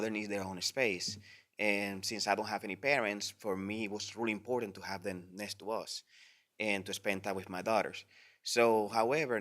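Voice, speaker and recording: A man's voice: lowish voice, fairly bright tone. A man from the Dominican Republic, speaking English as a second language, earnestly explaining his permit request at a public hearing. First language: Spanish. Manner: earnest, filler-heavy with "you know". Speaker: permit applicant.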